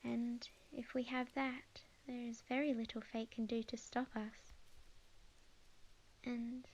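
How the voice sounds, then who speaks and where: A woman with an Australian accent playing a young lovestruck witch in a soft, intimate ASMR romance roleplay, earnest and hesitant, sometimes near a whisper. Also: a little high, flat delivery, slow, dull audio. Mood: tender, earnest. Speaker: ASMR audio roleplay performer.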